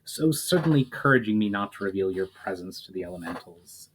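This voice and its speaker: A man's voice: fairly low pitch, animated, fairly quick, slight background noise, close to an everyday voice, poor audio. A man reading a story aloud, voicing an earnest adult male elf leader who keeps pressing with questions. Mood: earnest questioning.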